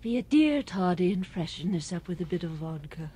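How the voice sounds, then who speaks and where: Haughty older society woman, animated. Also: on the low side, brisk, slight background noise. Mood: imperious. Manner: imperious, disdainful. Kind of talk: radio drama acting.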